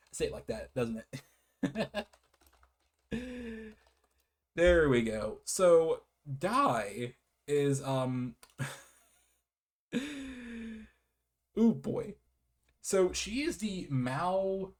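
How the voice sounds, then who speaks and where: A man's voice: fairly high pitch, big pitch swings, very slow, pauses often. A man giving a casual, rambling game review in a hesitant, apologetic manner with frequent giggles.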